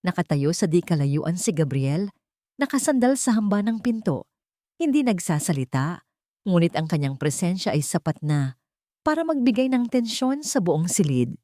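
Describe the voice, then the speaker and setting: A woman with a Filipino accent narrates a romantic audio drama in a measured, emotive storytelling manner. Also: on the low side, very animated, mellow, no echo, studio quality.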